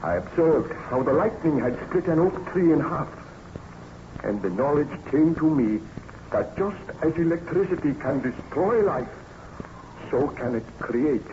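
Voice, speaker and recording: A man playing a tormented, guilt-ridden scientist nobleman in formal, theatrical old-time radio drama style. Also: a little high. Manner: grave, melodramatic, formal theatrical diction. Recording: dull, noisy old radio recording.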